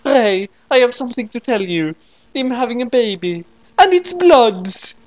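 A man voicing an earnest, girlish young woman in a high falsetto for a fan story. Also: expressive, a little slow, low-quality recording. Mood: dramatic.

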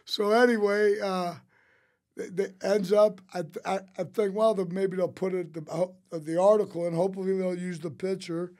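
A man tells casual, rambling anecdotes as a podcast guest, quoting people in an animated way. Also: high voice, moderate pace. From St. Louis, United States. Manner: rambling, animated storyteller who quotes others. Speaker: interview guest, restaurateur. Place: small podcast studio. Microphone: close studio mic on a boom arm.

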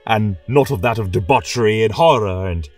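A man's voice: on the low side, a little fast, far from the mic, soft background music. A man narrating a scripted tale as a religious chronicler, in a solemn, reverent, theatrical style. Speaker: storyteller and podcast host.

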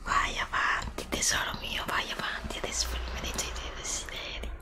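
A woman playing an evil, gloating horned sorceress in an ASMR roleplay, speaking in a menacing breathy whisper. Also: bright, forceful tone. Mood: scheming, gloating. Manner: slow, menacing, drawn-out delivery. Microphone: close USB microphone.

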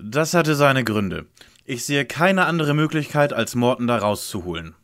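A man playing a self-assured, scheming teenage detective leader in an audio drama parody, speaking deliberately. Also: mid-range voice, big pitch swings, fairly bright tone.

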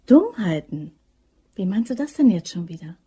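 Radio drama role: chatty, insistent young woman devoted to her man, soft dark tone, slightly breathy. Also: mid-range voice, very animated, normal speed. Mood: earnest, defensive. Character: eager young woman devoted to her boyfriend, scheming, upbeat. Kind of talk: German crime radio drama acting.

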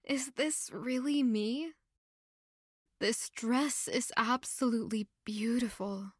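A woman's voice: fairly high pitch, slow, slightly rough, no room sound, studio quality. A woman playing an earnest, modest young working woman who can be playfully bold, in an intimate audio drama. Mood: wondering, tired. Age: young adult.

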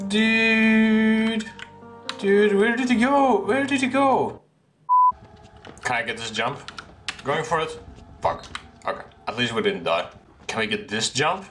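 A young man giving casual, reactive commentary on a horror game, exclaiming and repeating short phrases, recorded in a room at home. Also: extremely high pitch. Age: young adult. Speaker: gaming video creator playing a horror game. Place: small room at home, indoors.

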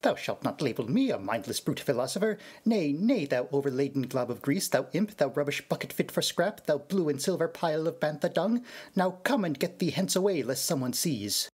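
A man's voice: fairly high pitch, very animated, brisk, a little mellow, slightly rough. A man playing a prissy, pompous protocol droid who scolds indignantly in archaic verse, in a Shakespearean dramatic reading. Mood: indignant, fussy.

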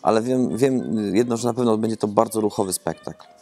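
A gravelly, fairly low-voiced man in his thirties talks through his ideas as a theatre director, hesitating often and repeating words as he searches for the right phrasing. He sits in an empty theatre auditorium, close on a lapel mic, with soft music underneath. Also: brisk. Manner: rambling, thoughtful, many hesitations and repeated words. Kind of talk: interview answers. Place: empty theatre auditorium, seated among red seats.

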